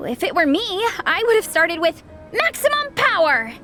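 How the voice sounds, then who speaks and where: A woman's voice: very high-pitched, sing-song, moderate pace, bright, forceful tone, heavily acted. A woman voicing an upbeat, friendly young woman in a video game, bubbly and effusive. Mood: playful. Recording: background music under dialogue.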